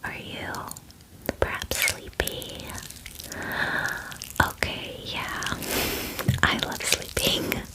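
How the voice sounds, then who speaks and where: A woman playing a friendly, caring dragon in a whispered ASMR roleplay, speaking softly and breathily in a gentle, chatty, hesitant way. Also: low-quality recording. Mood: soothing, friendly. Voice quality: soft, breathy, mostly unvoiced whisper. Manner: gentle, unhurried, chatty and casual with hesitant fillers. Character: friendly, eager, caring female dragon pampering a guest.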